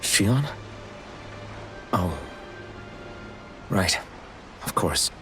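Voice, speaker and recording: A man playing a dazed, troubled young fantasy hero in a game, halting and subdued. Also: low-pitched, lively intonation, a bit bright, faint music behind, full character voice. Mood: hesitant, troubled. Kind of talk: dramatic game cutscene acting.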